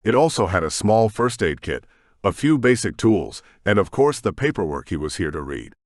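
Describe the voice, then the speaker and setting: A man narrating a science fiction audiobook in a steady storytelling manner. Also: on the low side, normal speed, dry recording, studio quality. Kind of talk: scripted narration.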